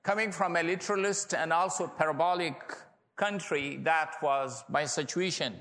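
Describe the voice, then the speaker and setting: Pashto accent guy preaching, slow and kinda high, echoey hall. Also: a bit bright, a little hiss or hum. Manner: reflective, unhurried storytelling. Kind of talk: sermon. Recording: reverberant hall, distant mic.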